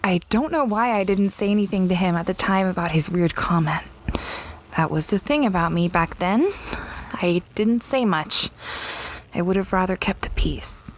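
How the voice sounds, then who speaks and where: A woman's voice: fairly low pitch, normal speed, slight background noise, natural voice, rough recording. A woman playing an easygoing space explorer in an audio drama, with a casual, mild, musing delivery. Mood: reflective. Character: easygoing, peacekeeping female space explorer recalling past trips. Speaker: lead character and narrator. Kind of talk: audio drama narration and dialogue.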